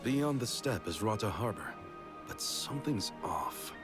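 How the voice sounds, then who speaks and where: An actor playing a vigilant, reserved swordsman in a fantasy video game, speaking in a measured, formal, serious way. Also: lowish voice, animated. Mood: calm, reflective. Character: composed, vigilant male swordsman, earnest and reserved. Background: game music.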